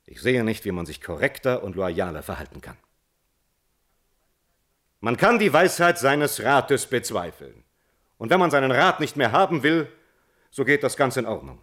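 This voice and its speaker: Earnest male defence attorney, medium pitch, measured and argumentative radio-drama courtroom delivery. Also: big pitch swings, fairly bright tone. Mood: earnest, insistent. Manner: formal, deliberate, argumentative. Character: measured, earnest defence lawyer pleading at a hearing.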